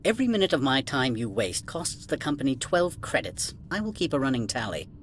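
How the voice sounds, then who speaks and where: A man's voice: mid-range voice, very animated, a little fast, a little hiss or hum, close to an everyday voice. A man playing an officious, dismissive corporate administrator in a video game, curt and haughty. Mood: irritated. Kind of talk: video game cutscene dialogue.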